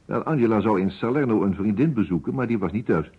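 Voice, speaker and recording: An actor playing a courteous, precise private detective in a vintage radio play, musing deliberately and dropping in French phrases. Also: male voice, fairly low pitch, lively intonation, breathy edge. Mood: methodical. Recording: muffled phone-like old radio recording.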